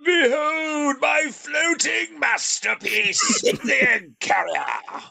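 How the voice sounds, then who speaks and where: A British man putting on a bombastic, cackling male cartoon villain scientist with booming, theatrical gloating. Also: big pitch swings, extremely slow, creaky, bright, forceful tone, heavily acted.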